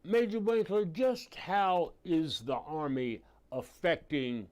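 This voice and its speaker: A man's voice: on the high side, a little mellow. A man co-hosting a morning TV show, playful and rambling as he asks questions. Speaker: TV co-host. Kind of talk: morning TV interview. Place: TV studio.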